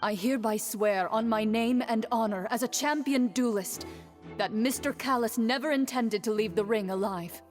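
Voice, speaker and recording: A woman's voice: a little high, moderate pace, a little mellow, faint music behind, natural voice. Woman acting a grave, stoic champion duelist. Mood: solemn. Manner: composed, grave, formal. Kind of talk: dramatic game voice acting.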